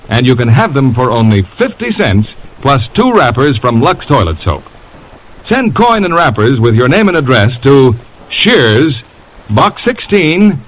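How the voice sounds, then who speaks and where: A man's voice: on the high side, fairly bright tone, rough recording. An American man announcing in a scripted 1950s radio style, with polished, emphatic salesmanship. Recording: vintage radio broadcast with music.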